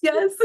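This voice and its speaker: Chatty woman coach, laughing easily. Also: very high voice. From United States, Mexican parents. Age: young adult. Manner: chatty, laughs often, many "like" fillers. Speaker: guest, abundance coach. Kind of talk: casual podcast conversation.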